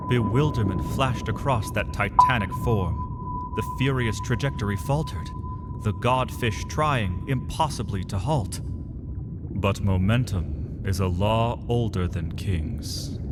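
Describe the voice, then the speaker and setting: Slow, low male dramatic narrator. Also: huge pitch swings, loud music behind the voice.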